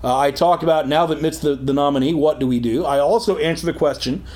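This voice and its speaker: Casting: booming male co-host, sarcastic deadpan humor, slightly high pitch, studio. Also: fast. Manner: loud, sarcastic, deadpan jokes. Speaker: co-host, political commentator. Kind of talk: casual chat. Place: recording studio.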